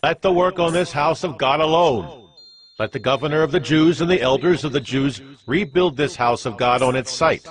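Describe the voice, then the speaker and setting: A man's voice: on the high side, normal speed, lightly acted. A man playing a commanding Persian king, proclaiming a decree in a stately, imperious way in a dramatized Bible reading. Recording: music bed under the voice.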